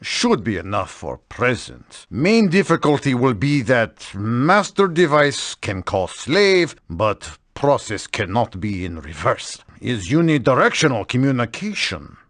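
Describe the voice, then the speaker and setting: An actor playing a grumpy, exacting inventor in a video game, with a thick Russian accent and stiff, clipped, formal speech. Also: male voice, medium pitch, sing-song, unhurried, few pauses, a bit bright, dry recording, clean studio sound. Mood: proud, instructive.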